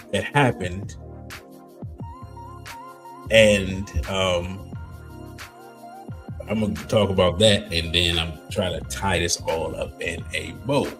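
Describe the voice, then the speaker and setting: A man hosting a live commentary show, talking slowly with many pauses and ums, and going hoarse. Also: deep voice. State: losing his voice. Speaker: livestream host. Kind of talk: casual commentary reading news aloud.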